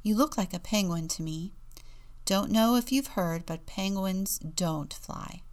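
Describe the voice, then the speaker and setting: A woman's voice: mid-range voice, measured pace, a little mellow, lightly acted. A woman voicing a big, kindly female seabird in a storybook, thoughtful and matter-of-fact. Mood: pondering.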